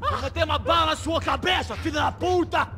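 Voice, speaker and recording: An actor playing an enraged, threatening gangster in a video game, with a Brazilian Portuguese accent. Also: male voice, very high voice, quick pace, pushed and bright, soft background music. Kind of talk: video game cutscene acting.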